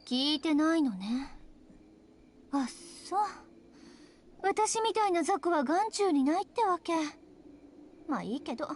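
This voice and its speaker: High, flippant exorcist teacher. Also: female voice, very animated, brisk, pauses often, slight background noise. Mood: dismissive. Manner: teasing, breezy, theatrical. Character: flippant, self-styled heroic exorcist woman teacher. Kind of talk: Japanese visual novel voice acting. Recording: loud game music over the voice. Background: loud game music.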